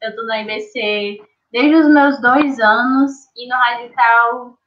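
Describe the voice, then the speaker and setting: A woman's voice: fairly high pitch, fairly even intonation, takes it slowly, lo-fi sound, slight background noise. An eighteen-year-old woman, co-hosting a casual livestream chat. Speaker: youth livestream co-host.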